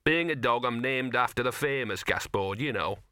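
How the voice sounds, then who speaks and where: A man's voice: moderate pace, sharp tone, clear, resonant tone, no room sound, close to an everyday voice. A man voicing a cheerful, scruffy male talking dog with a knowing, chatty manner. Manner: chatty, knowing, slightly higher.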